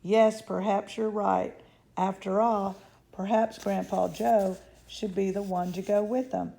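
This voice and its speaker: A woman reading aloud as a mild, modest father who talks gently and hesitantly. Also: mid-range voice, fairly even intonation, measured pace, creaky. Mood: gentle.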